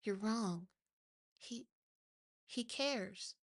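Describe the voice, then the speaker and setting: Lady doing an insecure monster girlfriend, shaky and pleading, pitched up, close dry mic, horror asmr story. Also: very slow, dry recording. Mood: trembling. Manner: pleading, trembling, defensive. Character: young, insecure, desperate monster girlfriend in love.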